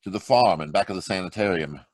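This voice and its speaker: A man acting a calm, resolute amateur sleuth in an old-time radio mystery. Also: deep voice, fast, no room sound. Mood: grave, resolute. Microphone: video-call microphone.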